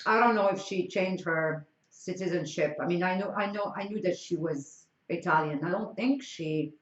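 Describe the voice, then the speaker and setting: A woman's voice: on the low side, normal speed. A woman from France with a French accent, chatting casually with viewers on a stream and trading blunt, teasing opinions. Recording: echoey room, dull lo-fi sound.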